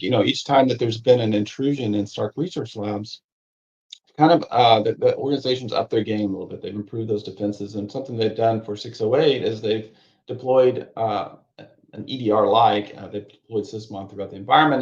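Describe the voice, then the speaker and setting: A man, the course author, walking through a technical course in a relaxed, rambling way with lots of fillers and restarts, his voice a little raspy. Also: lowish voice, highs cut off. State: slightly raspy voice, needs a cough drop. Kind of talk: conversational technical course walkthrough.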